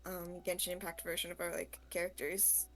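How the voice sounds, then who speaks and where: A woman's voice: fairly low pitch, completely flat delivery, brisk, a bit bright, soft background music. A woman guest on a game stream, chatting casually and somewhat hesitantly. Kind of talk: casual chat about gaming.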